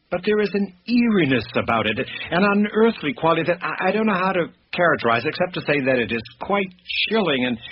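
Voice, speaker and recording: A man playing an anxious, erudite professor in a radio drama, speaking in formal, precise, halting sentences. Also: a little high, husky. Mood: haunted, hesitant. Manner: halting, formal, precise diction with hesitant self-corrections. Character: anxious, erudite male professor recounting eerie sightings. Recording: dull radio-like recording with music behind.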